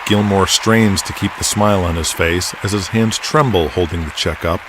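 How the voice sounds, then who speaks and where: A man's voice: low-pitched, normal speed, noisy background. A man reading scripted dramatic narration as the narrator of an audio drama. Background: music.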